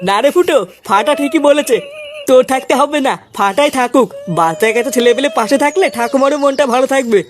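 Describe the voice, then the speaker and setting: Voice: high voice, lively intonation, fast talker. An actor voicing an exasperated, foul-mouthed village youth in a comic cartoon, chiding and matter-of-fact. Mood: reasoning.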